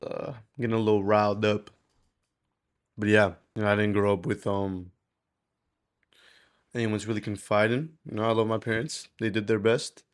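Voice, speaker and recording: Guy in his 20s, lowish clean voice, just rambling honestly to a vlog, swears a bit, says bro. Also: flat delivery, normal speed, long pauses. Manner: candid, rambling, frequent swearing and slangy "bro". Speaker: vlogger. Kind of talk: casual confessional video diary.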